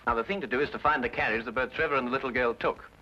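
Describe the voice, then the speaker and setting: An actor playing a brilliant, coolly logical Victorian detective with a precise British delivery in an old-time radio drama. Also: male voice, mid-range voice, very fast, pushed and bright, close to an everyday voice. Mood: brisk, sly. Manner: precise, assured, drily deductive. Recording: dull, noisy old radio drama recording.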